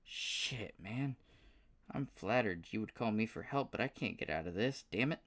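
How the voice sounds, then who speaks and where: A man's voice: lowish voice, expressive, normal speed, fairly bright tone, pitched above the performer's own voice. A man voicing an eager, folksy male scientist with a Southern US drawl, pouting when he can't help. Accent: Southern US, put on.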